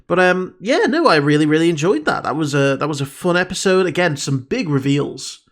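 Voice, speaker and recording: A man hosting a reaction video, talking in a loose, musing way full of ums. His nose sounds stuffed up, and his voice sits a little high for a man. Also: expressive, a little fast. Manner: musing, rambling with frequent ums. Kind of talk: casual reaction commentary.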